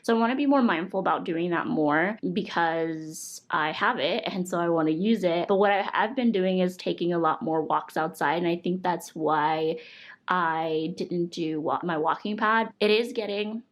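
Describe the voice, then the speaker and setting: A young woman with a stuffy, congested voice talks casually to camera. Her voice sits a little low for a woman, and she chats along in an upbeat, thankful way, with plenty of fillers. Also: moderate pace. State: congested from a cold. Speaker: vlogger reviewing monthly goals.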